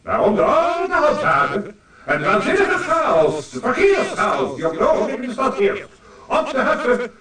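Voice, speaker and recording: An actor playing a bombastic police chief declaiming pompously in a comedy radio play. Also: male voice, very high-pitched, exaggerated voice. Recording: echoey public address, distant, crowd noise. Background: crowd.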